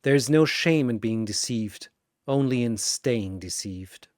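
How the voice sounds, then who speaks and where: A grim masked male avenger from a dramatic battle story, as the narrator puts him on. He speaks slowly and coldly, with solemn discipline. The sound is dry and close. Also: medium pitch, expressive, darkish tone, no echo, lightly acted. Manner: measured, solemn, cold pronouncements.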